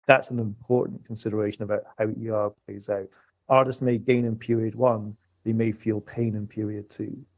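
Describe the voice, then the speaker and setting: A man with a low, gravelly voice talks through economics on a podcast. He is measured and methodical, recapping each point. The sound is dry and close. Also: little pitch movement, a little mellow, no room sound. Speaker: music economist guest. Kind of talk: podcast discussion.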